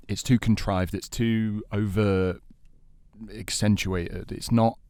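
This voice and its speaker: A man's voice: on the low side, steady tone, slow pace, darkish tone, clear, resonant tone, no room sound, clean studio sound. A man co-hosting a podcast, arguing his points in a casual, opinionated and emphatic way. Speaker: podcast co-host in a film debate. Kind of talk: casual panel debate.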